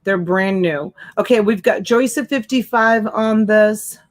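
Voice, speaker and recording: A woman's voice: medium pitch, a little slow. Chatty Boston woman running a live sale. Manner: chatty, greets viewers by name with endearments. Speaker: live-stream seller and host. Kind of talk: casual live-stream auction chat. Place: home room with shelves. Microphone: desk USB mic.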